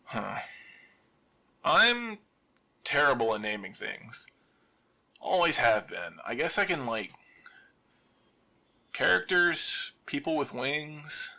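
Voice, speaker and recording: A man performing a scripted audio drama monologue as a lone survivor hosting a radio show, with wry deadpan humor. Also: on the high side, creaky.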